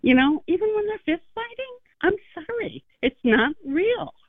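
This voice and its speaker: A woman's voice: very high-pitched, big pitch swings, moderate pace. A middle-aged woman calling in to a radio show, telling a story earnestly and with emphasis. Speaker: caller. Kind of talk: phone-in call. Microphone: phone. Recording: phone line.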